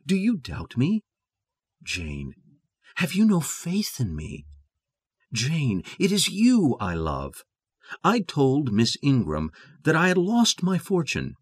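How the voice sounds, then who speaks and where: Brooding gentleman, wildly swooping voice. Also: a little high, huge pitch swings, slightly rough, no room sound, studio quality, heavily acted. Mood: ardent pleading. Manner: theatrical, intense, deliberate delivery. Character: brooding, passionate English gentleman in love, master of Thornfield. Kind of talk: dramatized classic novel audio.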